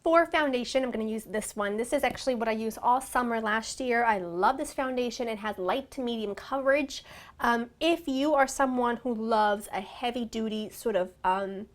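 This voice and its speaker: Chatty woman vlogger, slightly raspy. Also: fairly high pitch, normal speed. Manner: chatty, gushing, warm address to viewers. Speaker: beauty vlogger. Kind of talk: casual makeup tutorial. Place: small home filming room indoors.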